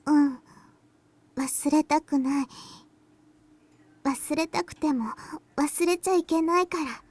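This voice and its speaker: A woman voicing a gentle, earnest little girl in an anime-style visual novel game. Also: high-pitched, normal speed, lightly acted. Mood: earnest, soft.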